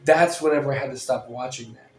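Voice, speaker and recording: A man's voice: mid-range voice, quick pace. Rambling, joking male podcast host. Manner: rambling, joking, laughs loudly. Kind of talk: casual chat. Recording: roomy room with faint ambience.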